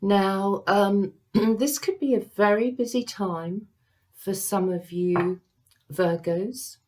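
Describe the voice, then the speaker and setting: A woman working as a psychic medium, giving a tarot reading to viewers in a gentle, reassuring way, pausing often with ums. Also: mid-range voice. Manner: slow, halting, with many ums and pauses.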